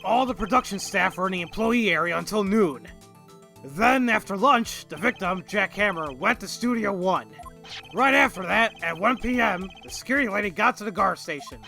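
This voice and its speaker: Guy doing eager folksy cop for a game, forceful bright voice with lots of gravel, explaining stuff. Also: sits high, animated, normal speed, exaggerated voice. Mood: eager, explanatory. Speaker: voice actor in fan dub. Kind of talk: video game character acting, explanatory. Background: quiet game music.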